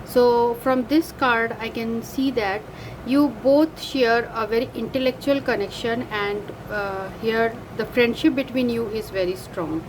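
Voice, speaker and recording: A woman with an Indian English accent, whose first language is Hindi, reads cards in a casual, halting way, pausing often to search for words. Her voice sits fairly high and her pace is slow. The recording carries clear background noise. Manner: hesitant, many uh fillers and self-corrections. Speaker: card reader. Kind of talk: casual card reading to camera.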